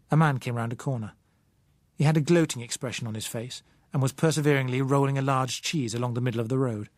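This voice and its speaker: A man with a British accent reading a novel as an audiobook narrator, measured, literary and expressive in delivery. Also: mid-range voice, dry recording. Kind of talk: dramatic scripted novel narration.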